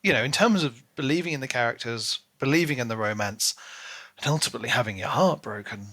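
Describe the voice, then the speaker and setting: Bright-voiced man chatting enthusiastically. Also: medium pitch, fast. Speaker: podcast co-host. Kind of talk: casual book discussion chat.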